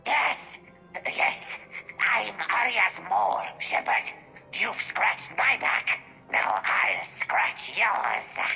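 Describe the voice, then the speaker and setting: Alien gang thug, harsh gravelly fry, piercing edge, vicious and ambitious, wildly swooping pitch, game character. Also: male voice, wildly swooping pitch, drawn-out words, pushed and bright, faint music behind, heavily acted, poor audio. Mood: scheming.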